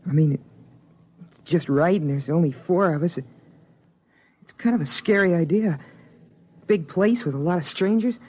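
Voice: medium pitch, a little slow, long pauses, breathy edge. An actor playing an earnest boy who grew up in a sealed shelter, in 1950s radio drama style. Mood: wistful. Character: earnest boy raised in a sealed survival nest, narrating. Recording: muffled old radio recording.

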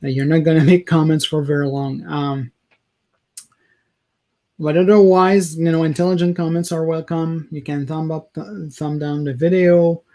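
Higher-pitched man, halting talker. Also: level tone, dark tone. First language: French. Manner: halting, searching for words, self-correcting. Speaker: video blogger. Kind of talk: casual opinion rant to camera. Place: small indoor room, home office.

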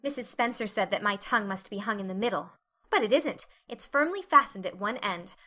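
A woman's voice: mid-range voice, lively intonation, brisk, bright, forceful tone. A woman voicing a talkative, dreamy, dramatic orphan girl with an earnest, effusive manner in an audiobook. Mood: eager, happy.

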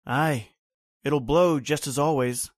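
A man's voice: a little high, slow, dry recording, clean studio sound. Man acting a terse, grim island servant. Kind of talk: old-time radio horror acting.

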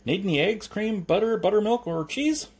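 A beaming, high-pitched milkman from a storybook, chirpy and unabashed. The sales patter is sing-song and leaps about in pitch. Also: expressive, moderate pace, a little mellow. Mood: cheery, eager.

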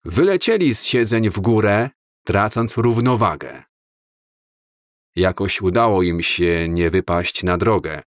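A man reads a story aloud at a very slow, deliberate pace. His voice sits a little low and stays calm throughout. The sound is dry and close, with no room around it. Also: no room sound. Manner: slow, measured, calm reading. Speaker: audiobook narrator. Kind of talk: scripted storybook narration.

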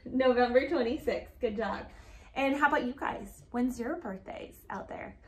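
A woman in her thirties hosting a children's story time, reading aloud and asking questions in animated, child-directed talk. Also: on the high side, normal speed. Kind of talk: picture-book read-aloud and chat for children. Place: living room, indoors. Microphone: camera a few feet away. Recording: echoey room.

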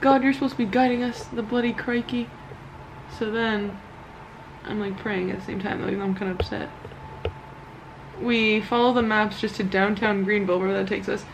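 A woman's voice: mid-range voice, steady tone, moderate pace. A young woman vlogging from inside a car in casual chat, telling stories animatedly and laughing often. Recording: dull muffled audio with loud road noise.

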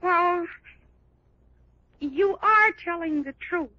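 Radio ingenue: petulant young girl, piercingly high, close dry sound on muffled old broadcast. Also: very slow, no room sound, exaggerated voice. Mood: pleading. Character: spirited, pouting young bride-to-be, headstrong and anxious. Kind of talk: old-time radio drama acting. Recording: dull vintage radio recording.

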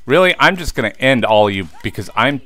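A man's voice: mid-range voice, expressive, a little fast, sharp tone, no room sound. A man in his thirties chatting casually to viewers as he plays a game, easily frustrated and talking to them directly. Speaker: let's play host. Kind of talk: casual gameplay commentary.

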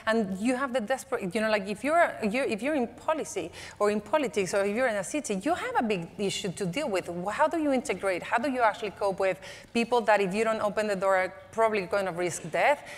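A woman's voice: mid-range voice, lively intonation, fast. A young woman speaking fluently and earnestly as a conference panelist. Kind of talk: panel discussion. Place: large conference hall, on stage. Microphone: lapel mic. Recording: long hall reverb.

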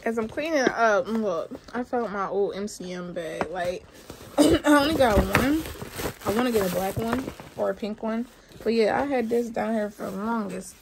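A woman's voice: medium pitch, normal speed, background noise. Chatty young woman vlogging at home. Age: young adult. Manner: chatty, rambling, addresses viewers. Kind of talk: casual chat.

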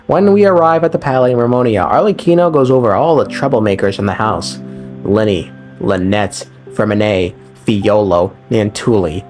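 A man's voice: on the low side, normal speed, a little mellow. A young man narrating a casual, scripted game story recap with wry, irreverent, slangy asides. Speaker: game lore video narrator. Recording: music under the voice.

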